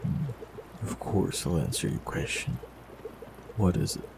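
Deep gravelly voice of a gentle man. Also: a little slow, noisy background. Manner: calm, gentle, reassuring. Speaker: warlock in an audio roleplay. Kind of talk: scripted audio roleplay, speaking to the listener.

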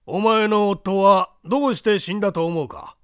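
Mystery audio story: stern male judge acted by a male reader, blunt interrogator, high and smooth-toned. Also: normal speed, dry recording, clean studio sound, close to an everyday voice. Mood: stern, probing. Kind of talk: dramatised mystery story reading.

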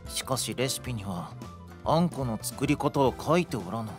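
男性声優が、古風で堅い言い回しをする真面目で落ち着いた武人をゲームのラジオドラマで演じている。. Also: male voice, medium pitch, animated, music in the background, natural voice. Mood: calm, puzzled. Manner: measured, stiff old-fashioned phrasing. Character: calm, earnest male warrior with archaic speech. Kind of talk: game radio drama acting.

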